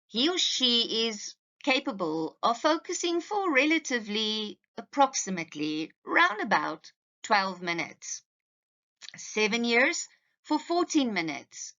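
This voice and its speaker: A woman's voice: a little high, fairly bright tone, muffled recording. A woman presenting an instructional slide lecture to parents like a teacher, speaking emphatically and directly to her listeners.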